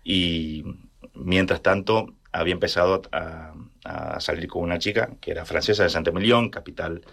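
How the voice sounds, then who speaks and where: A man from rural Argentina with an Argentine accent, telling personal stories casually and haltingly as he searches for words. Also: deep voice, steady tone, vocal fry, a bit bright. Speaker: interview guest.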